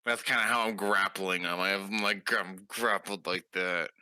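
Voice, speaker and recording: A man's voice: sits low, steady tone, moderate pace, pushed and bright, clean tone. A man talking casually as a player in a tabletop roleplaying game.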